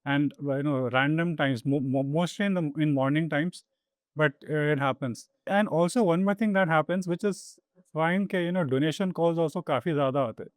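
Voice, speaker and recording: Male podcast host, Indian accent, slightly high and smooth, wordy conversational delivery that drifts between Hindi and English. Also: fast talker, no echo.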